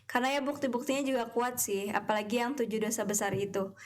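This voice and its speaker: A young woman co-hosting a campus radio chat, explaining theories in a relaxed storytelling way. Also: a little high, quick pace. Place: radio studio.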